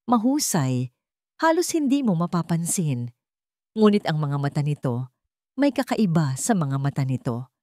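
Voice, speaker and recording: A woman narrating scripted dramatic fiction in a measured, suspenseful way, with deliberate pauses. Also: lowish voice, darkish tone, no room sound, studio quality. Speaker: story narrator.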